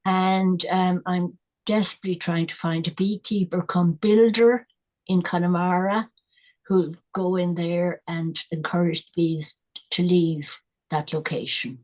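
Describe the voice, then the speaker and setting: An older Irish woman, a guest environmentalist, talking in a casual, thoughtful way with many pauses and restarts. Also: fairly low pitch, fairly even intonation, darkish tone. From Ireland. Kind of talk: casual conversational interview.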